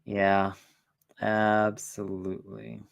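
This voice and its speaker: A man's voice: lowish voice, completely flat delivery, very slow, creaky, highs cut off. A young man chats casually as the host of a stream. He rambles and often circles back with fillers before landing on his point. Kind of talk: casual chat.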